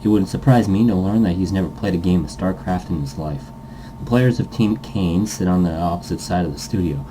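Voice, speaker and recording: A man's voice: low voice, fairly quick, noisy background. A man hosting a game playthrough, rambling and thinking aloud in casual commentary as he reads game text and reacts to it. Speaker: host of a visual novel playthrough series.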